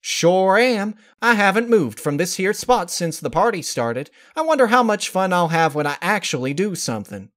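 A man's voice: high voice, big pitch swings, moderate pace, few pauses, fairly bright tone, voice pushed up. A man voicing an easygoing, stubborn middle-aged country mother pony in a story reading, folksy and plain-spoken. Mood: good-humored. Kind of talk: dramatized fan-fiction reading.

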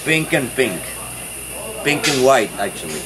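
A man's voice: mid-range voice, sing-song, unhurried. A man, working as a nature guide, who quizzes a group about plants and explains patiently, recorded outdoors from a camera a few steps away. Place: outdoors in tropical garden. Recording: roomy outdoor sound with background noise.

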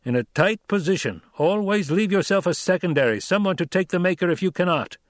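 A man's voice: high voice, animated, brisk, dry recording, studio quality, pitched above the performer's own voice. A man reading a stern, blunt, middle-aged desert troop leader in an audiobook, with a harsh, commanding manner.

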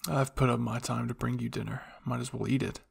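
A man's voice: mid-range voice, little pitch movement, a little fast, gravelly, slightly rough, exaggerated voice. A man voicing a blunt military captain who scolds curtly but secretly cares, in an anime fan fiction roleplay. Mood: bored, curt. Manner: curt, scolding, awkwardly tender. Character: gruff, blunt soldier captain, secretly caring.

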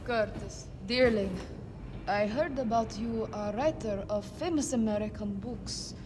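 An actress playing a gentle, affectionate Polish woman in a video game, speaking sympathetically in Polish-accented English. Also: medium pitch, a little slow, long reverb tail, noisy background, lightly acted. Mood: affectionate, sympathetic. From Poland.

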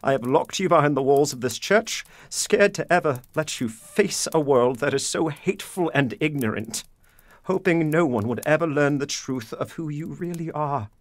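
An actor playing an anguished, guilt-ridden, stern father in a period audio drama, speaking gravely and deliberately. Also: a little high, lively intonation, no room sound, lightly acted. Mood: anguished, self-blaming.

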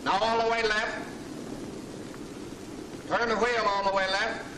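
A 1940s officer giving crisp commands, high and flat, heard from afar. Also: male voice, measured pace. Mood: commanding. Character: army air officer barking control commands. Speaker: officer calling out control commands. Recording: echoey, distant, noisy 1940s film sound.

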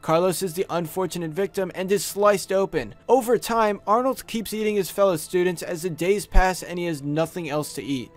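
A man's voice: high voice, normal speed, hardly any pauses. A man narrating scripted creepy stories as a video host, recorded on a close microphone. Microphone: close studio USB microphone. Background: music behind the voice.

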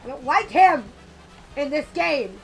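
A young woman with a slightly raspy voice from being sick, chatting casually and swearing as she plays a racing game on a headset mic. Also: high voice, very animated, a little slow, a little hiss or hum, rough recording. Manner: chatty, swears often, loud outbursts at the game. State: a little sick. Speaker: gaming channel host. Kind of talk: casual let's-play commentary.

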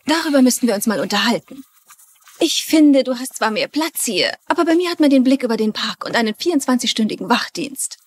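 A woman's voice: on the high side, animated, a little fast. An actress playing an insistent, dramatic society woman in her thirties in a dubbed TV drama. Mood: eager, coaxing. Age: 30s. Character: indignant 35-year-old society girlfriend pushing for marriage. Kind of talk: German-dubbed TV drama acting.

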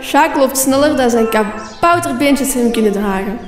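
A woman's voice: fairly high pitch, animated, normal speed, close to an everyday voice. A woman reading scripted narration for a radio play. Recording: echoey room, faint music.

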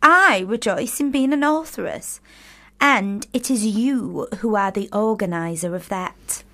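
A woman's voice: mid-range voice, measured pace, pushed and bright. A woman playing a playful, romantic young sister in a period radio drama, savouring her words theatrically. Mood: earnest.

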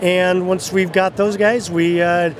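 A man's voice: sits high, little pitch movement, a little slow. A man in his sixties casually explaining as an exhibitor in an interview, friendly, with frequent hesitations. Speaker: case company exhibitor. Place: exhibit booth in large arena. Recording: clear expo-hall background noise.

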